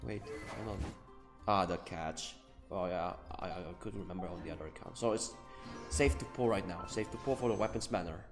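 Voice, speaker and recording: A man giving casual live gaming commentary, thinking aloud haltingly and repeating his phrases. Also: low-pitched. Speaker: game streamer. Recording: roomy echo, faint game music behind.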